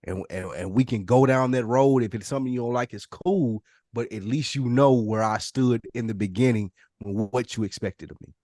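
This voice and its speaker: A man's voice: medium pitch, moderate pace, hardly any pauses, dark tone, dry recording, clean studio sound. A man talking casually in a group podcast discussion, blunt and slangy, with easy swearing. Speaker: podcast panelist.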